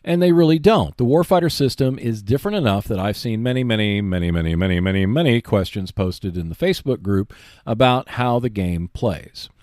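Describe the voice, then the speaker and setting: Man explaining games with lively, swinging intonation. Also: fairly low pitch, a little fast, no echo, clean studio sound. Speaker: host of a board game video show. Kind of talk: semi-scripted explanatory commentary on board games.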